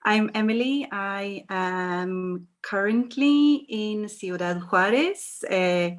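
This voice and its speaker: A woman's voice: medium pitch, slow. A woman from Sweden introduces herself on a video call. She is cheerful and a bit hesitant, with her words broken up by fillers. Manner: cheerful, laughs easily, hesitant. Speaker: humanitarian worker.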